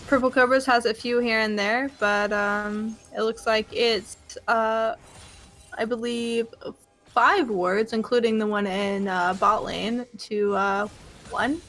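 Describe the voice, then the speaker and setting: A woman's voice: on the high side, steady tone, slow, fairly bright tone, faint music behind. A woman giving esports color commentary, hesitant and filler-heavy, breaking into giggles. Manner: hesitant, many ums and uhs, giggly.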